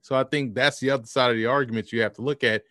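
A man's voice: medium pitch, steady tone, quick pace, fairly bright tone, dry recording. Man from New Orleans, football analyst guest, dry close sound, explanatory. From New Orleans, Louisiana. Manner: explanatory, restarts phrases often. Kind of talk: sports podcast interview.

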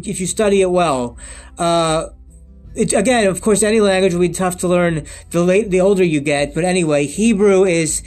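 Man in his thirties with a high voice, rambling solo vlogger, lots of restarts, faint music underneath. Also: darkish tone. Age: 30s. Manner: rambling and repetitive, with many restarts and emphatic lists. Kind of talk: unscripted monologue to camera.

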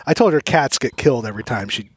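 A man in his mid-thirties talks into a studio microphone in a dry, close-sounding room. He jokes, laughs a lot and tells stories with animated energy. His pitch sits in a middle range. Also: fast, breathy edge, dry recording. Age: 30s. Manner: joking, laughs often, animated storytelling. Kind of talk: casual podcast chat.

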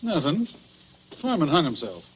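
An actor playing a defensive, folksy night jailer who talks in slang, in old radio drama style. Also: male voice, on the high side, wildly swooping pitch, moderate pace, husky, full character voice. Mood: casual, defensive. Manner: slangy, uneducated grammar. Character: casual, defensive, folksy male jail night officer. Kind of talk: 1940s radio drama acting. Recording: noisy old radio broadcast recording.

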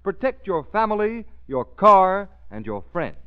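A male radio announcer delivering a vintage commercial. His fairly high voice swings widely and lands hard on key words. The recording is muffled. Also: very animated, unhurried, clean tone.

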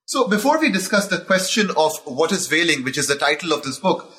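A man's voice: a little high, big pitch swings, fairly quick, fairly bright tone. A man hosting an academic book interview, asking questions politely and formally with frequent uhs. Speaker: podcast host.

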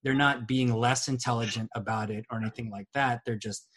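A man's voice: mid-range voice, fairly even intonation, a little fast, a bit bright. A man co-hosting a podcast, making his point earnestly while hunting for words with many "um"s and tag questions. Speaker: podcast co-host. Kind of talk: casual podcast discussion.

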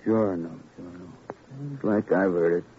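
A dull old radio recording with faint noise carries a low-pitched man, an actor as a plain-spoken city policeman. He talks in clipped, informal phrases, in the style of vintage crime drama. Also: fairly even intonation, moderate pace, lightly acted. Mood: matter-of-fact, musing.

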